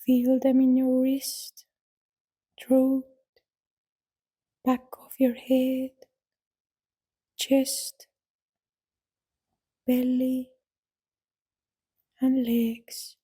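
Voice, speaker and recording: A woman guides a deep relaxation session extremely slowly, leaving long gaps between short, gentle phrases. Her voice is soft and breathy, with a very even, unchanging melody and a dark, relaxed tone. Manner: soothing, gentle instruction with long pauses. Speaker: yoga nidra guide. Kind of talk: guided relaxation meditation, scripted.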